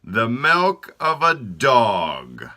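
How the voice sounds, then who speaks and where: A man voicing a boastful talking tongue that speaks slowly and emphatically. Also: medium pitch, huge pitch swings, sharp tone, full character voice. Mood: smug. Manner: slow, emphatic, self-important.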